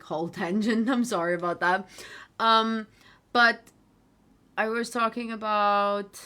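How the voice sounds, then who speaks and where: Bright-voiced chatty woman with an American accent. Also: mid-range voice. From South Africa. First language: Afrikaans. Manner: rambling, easily sidetracked, chatty with frequent fillers. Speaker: livestream host. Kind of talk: casual livestream monologue.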